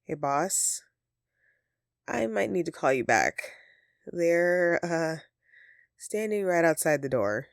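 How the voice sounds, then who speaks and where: Low-voiced sheepish Christmas elf woman, played by an actress. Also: sing-song, takes it slowly, vocal fry, pauses often, clear, resonant tone, no echo, clean studio sound, exaggerated voice. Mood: flustered, sheepish. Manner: hesitant, sheepish, conversational and earnest. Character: flustered, friendly female Christmas elf, secretly living as roommate. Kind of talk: audio roleplay addressed to the listener.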